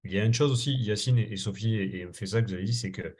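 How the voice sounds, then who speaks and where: A man with a fairly low voice moderates a webinar panel. He speaks with some hesitation and a light joking tone. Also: quick pace, a little mellow, breathy edge.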